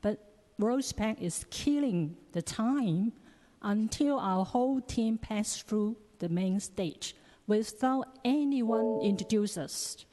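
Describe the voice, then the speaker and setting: Slow-paced Cantonese-accented woman in echoing hall. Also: a little high, expressive, dark tone. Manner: halting, non-native phrasing. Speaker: public commenter. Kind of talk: prepared public testimony at a meeting. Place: large echoing public meeting chamber. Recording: long echoing hall reverb.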